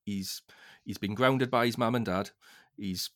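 A man hosting a casual podcast discussion in a halting way, often repeating words as he goes. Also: fairly low pitch. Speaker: podcast host.

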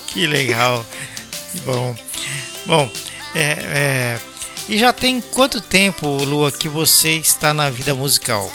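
A woman host with a low voice, guiding a live interview and giving her guest warm, short acknowledgements. Music plays clearly behind her. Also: big pitch swings, takes it slowly. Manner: encouraging, with many short acknowledgements.

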